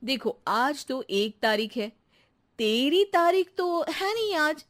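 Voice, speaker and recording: A woman voicing a calm, amused housewife in a cartoon who soothes her husband and teases the maid. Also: high-pitched, slow, voice pushed up, exaggerated voice. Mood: teasing. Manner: soothing, teasing and chuckling. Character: calm, amused housewife, patient but teasing. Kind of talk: Hindi cartoon voice acting.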